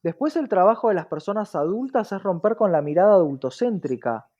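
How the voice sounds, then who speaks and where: A woman's voice: medium pitch, lively intonation, a little fast, mellow, muffled recording. A woman with an Argentine accent explaining things conversationally as an interview guest, reflective, often checking in with rhetorical questions.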